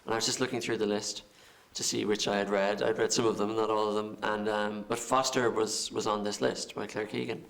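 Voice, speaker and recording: An Irish film director answering interview questions, halting and repeating words as he thinks. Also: fairly low pitch, little pitch movement, vocal fry. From Ireland.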